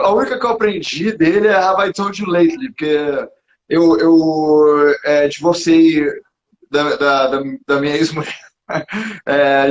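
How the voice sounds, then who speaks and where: A Brazilian man hosting a casual live chat, rambling and self-mocking, laughing often, a little hoarse. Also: on the high side, a little hiss or hum. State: slightly hoarse. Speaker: podcast host. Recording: dull lo-fi sound.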